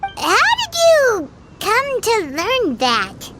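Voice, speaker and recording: A woman voicing a tiny, chatty, excitable fairy companion in a video game, with a squeaky, childlike voice. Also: extremely slow, very noisy, full character voice. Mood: baffled. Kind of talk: animated video game voice acting.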